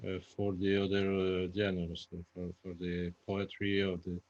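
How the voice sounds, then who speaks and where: Deep, halting Spanish-accented man. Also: monotone, a little mellow, a little hiss or hum. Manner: halting, searching for words, repeating. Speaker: playwright guest. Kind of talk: panel Q&A answers in a second language. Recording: dull lo-fi, echoey room sound.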